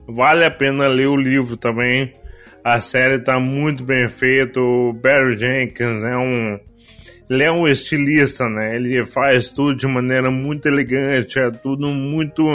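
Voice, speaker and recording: Relaxed man reviewing. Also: medium pitch. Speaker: podcast co-host. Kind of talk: casual review chat.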